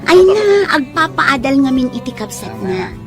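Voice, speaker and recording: High-voiced, giggly young Filipina in love. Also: female voice, big pitch swings, normal speed. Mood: chatty, explaining. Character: giggly, smitten young woman confiding in her friend. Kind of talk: Filipino radio drama acting. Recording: radio drama with music underneath.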